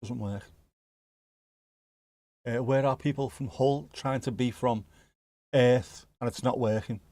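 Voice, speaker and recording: A man's voice: mid-range voice, fairly even intonation, takes it slowly, dark tone, dry recording. A man with a Scouse accent, hosting a livestream and chatting casually with viewers, joking and swearing now and then. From Liverpool area, England. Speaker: livestream host. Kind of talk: casual chat reacting to live chat.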